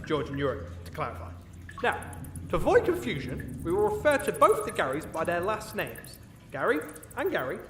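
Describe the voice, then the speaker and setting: A man's voice: high-pitched, a bit bright, far from the mic. A man playing an exasperated, officious jailer in a comedic fantasy audio drama, fussy, hesitant and prone to swearing. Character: exasperated, officious jailer trying to keep order. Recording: roomy echo, off-mic, faint background noise.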